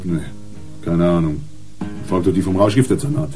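Offhand, low-voiced policeman. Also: animated, normal speed, music in the background. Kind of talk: German radio crime drama acting.

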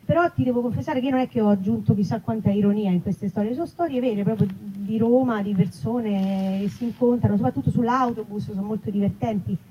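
A woman's voice: medium pitch, quick pace, hardly any pauses. A woman speaking conversationally as a writer and actress presenting her book at a live event. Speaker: writer and actress, festival guest. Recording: slightly muffled live event, faint noise.

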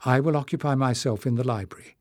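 Man voicing a quiet, gentle woman. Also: quick pace, no echo, clean studio sound, lightly acted. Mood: soft reassurance. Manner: quiet, low-voiced. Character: gentle, reserved woman, softly reassuring. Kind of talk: acted dialogue in audiobook narration.

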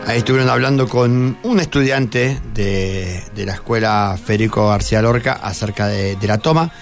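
A man's voice: lowish voice, moderate pace, faint music behind. A man with a Buenos Aires Argentine accent hosting a conversational radio interview, repeating answers back as he questions. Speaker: radio host. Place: radio studio.

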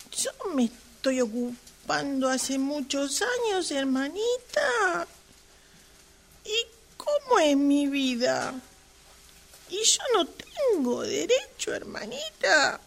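A woman's voice: high voice, creaky, fairly bright tone, slight background noise, exaggerated voice. A woman with an Argentine accent playing a lovestruck, put-upon sister in an amateur radio drama, pleading, whiny and self-dramatizing, repeating words insistently. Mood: hurt, tearful.

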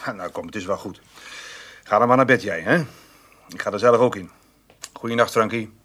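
An actor playing a hot-tempered, stubborn farmer in a Dutch radio drama, blunt and grumbling. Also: male voice, lowish voice, moderate pace, a bit bright. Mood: gruff, kindly.

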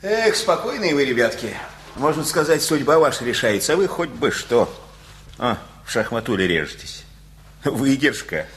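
A man's voice: medium pitch, sing-song, normal speed, a bit bright, a little hiss or hum. A male actor playing a folksy, talkative, worried father in a radio play, rambling colloquially with chuckles. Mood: jovial banter.

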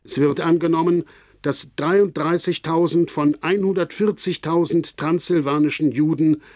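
A man reading a scripted radio documentary narration in a solemn, measured manner. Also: a little high, fairly even intonation, a little mellow, natural voice.

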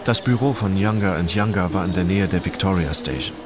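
A man's voice: low-pitched, brisk, crowd noise, natural voice. A man playing a detached, brooding narrator who tells his own story in a matter-of-fact literary radio drama style. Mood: detached, intent. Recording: music bed behind the voice.

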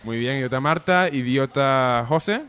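A man's voice: mid-range voice, normal speed, fairly bright tone, clean tone, faint music behind. A man hosting a comedy radio show with mock-pompous, declamatory presenting and banter.

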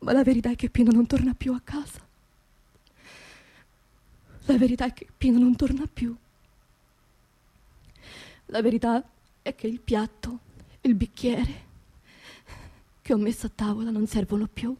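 A woman's voice: a little high, little pitch movement, moderate pace, long pauses, mellow, breathy edge. An actress playing an anxious, grieving working-class woman in a theatrical radio monologue, with a Roman accent and an emotional, confiding manner. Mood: bitter, grieving. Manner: emotional, confiding, repeats words insistently.

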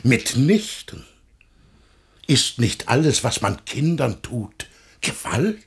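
Gravelly, pompous old patriarch. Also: male voice, mid-range voice, big pitch swings, heavily acted. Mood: sanctimonious indignation. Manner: pompous, drawn-out preaching delivery. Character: pompous, zealous old patriarch, a sly churchman. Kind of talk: 1950s German radio drama acting.

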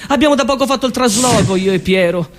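A man playing a deadpan Roman housewife. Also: extremely high pitch, fast talker. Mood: deadpan grievance. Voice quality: deadpan, repetitive, mock-reasonable. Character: Roman woman, fed up with lazy live-in partner. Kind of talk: comic stage monologue.

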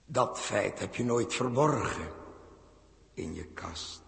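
A man's voice: on the low side, lively intonation, takes it slowly, a bit bright, big-room sound, exaggerated voice. An actor playing a feverish, guilt-haunted man in a theatrical Dutch 1960s radio drama. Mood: brooding, haunted. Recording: muffled, rough 1960s radio recording.

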